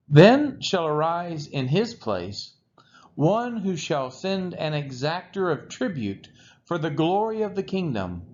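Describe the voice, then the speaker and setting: A man reads scripture solemnly at a very slow, deliberate pace, his pitch swinging widely from phrase to phrase. The recording is dull and lo-fi, with a faint noise underneath. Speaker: scripture reader. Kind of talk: solemn read-aloud of scripture.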